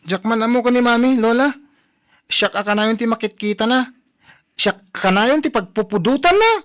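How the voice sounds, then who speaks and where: A woman's voice: fairly high pitch, moderate pace, natural voice. A woman plays a lazy young grandchild in an Ilocano radio drama. The voice is sulky and defiant, whining and grudging as it answers back. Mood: whiny complaining.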